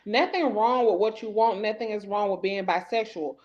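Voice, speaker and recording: A woman in casual podcast talk, with a middle-pitched voice carried over dull, muffled stream audio. She gropes for words, restarts her sentences and leans on "you know" while she works out her opinion. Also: moderate pace. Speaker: podcast co-host. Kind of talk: casual chat.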